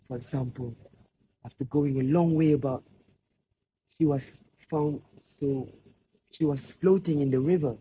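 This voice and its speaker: A man acting an anxious, hesitant old man in a student stage play, speaking in halting, deliberate phrases. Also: medium pitch, mellow, close to an everyday voice. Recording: noisy amateur recording with ambient sound.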